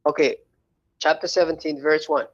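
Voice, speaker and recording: A man's voice: a little high, fairly even intonation, moderate pace, no room sound, lo-fi sound. A man calling into a debate show, insistent and defensive as he argues his point. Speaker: caller. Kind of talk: heated call-in debate.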